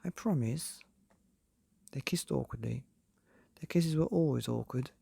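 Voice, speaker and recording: A man narrating a bedtime storybook from a script, measured, with deliberate pauses between phrases. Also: medium pitch, gravelly, mellow, husky, dry recording. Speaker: narrator of a bedtime stories podcast.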